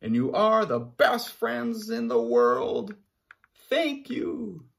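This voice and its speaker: Man playing a friendly, lively storybook polar bear, pitched up. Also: slow pace, gravelly, full character voice. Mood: delighted. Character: friendly, wistful, excitable male polar bear. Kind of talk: children's storybook read-aloud.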